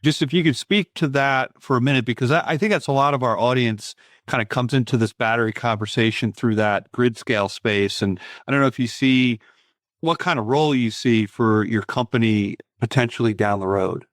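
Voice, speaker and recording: A man's voice: mid-range voice, brisk, dry recording, clean studio sound. A man hosting a podcast interview, chatting in a thoughtful, conversational way. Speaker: podcast host.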